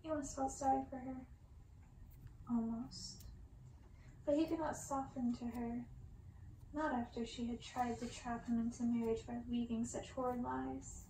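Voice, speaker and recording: A woman reading a story in ASMR style, with a slow, breathy, intimate delivery. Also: on the high side, little pitch movement, mellow, echoey room, a little hiss or hum, rough recording.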